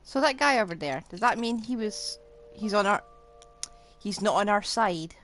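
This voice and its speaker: A woman's voice: medium pitch, animated, moderate pace, fairly bright tone, slight background noise. A woman game streamer giving casual live commentary, thinking aloud and restating herself as she goes.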